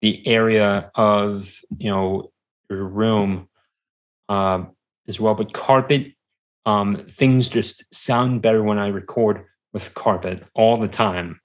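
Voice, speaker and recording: A man speaking as a podcast guest in a casual, halting, mild-mannered conversational way. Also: fairly low pitch, fairly even intonation. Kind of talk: casual interview chat.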